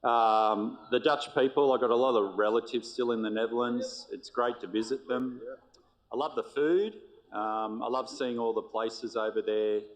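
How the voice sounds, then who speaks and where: A middle-aged man, a teacher, answering quick interview questions at a school event in an easygoing, chatty way, with plenty of "um"s. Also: medium pitch, fairly even intonation, low-quality recording. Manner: easygoing and chatty, with frequent "um" fillers. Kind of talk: casual vox-pop interview answers.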